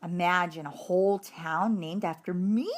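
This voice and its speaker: A woman's voice: on the low side, moderate pace, slight background noise, close to an everyday voice. A woman reading a children's storybook, voicing a proud, eager little male hamster in a chatty, self-important way. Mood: surprised. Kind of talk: children's storybook read-aloud.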